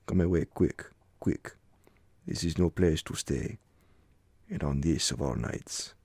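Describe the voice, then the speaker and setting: A man voicing frightened, urgent cavalry soldiers in a gothic horror audiobook, speaking in halting exclamations. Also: extremely low pitch, darkish tone, breathy edge, no echo, full character voice.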